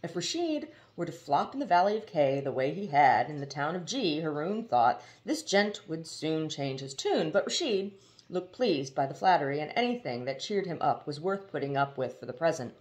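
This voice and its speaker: A woman reading a novel aloud as expressive storybook narration. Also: deep voice, normal speed. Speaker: audiobook reader.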